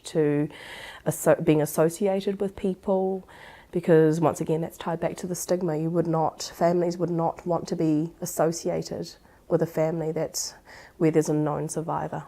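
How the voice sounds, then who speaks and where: A woman's voice: sits low, warm tone. A woman explaining her field in a reflective interview, choosing her phrasing carefully and hesitantly. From Singapore-born, living in Auckland, New Zealand. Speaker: expert on supporting sexual violence survivors.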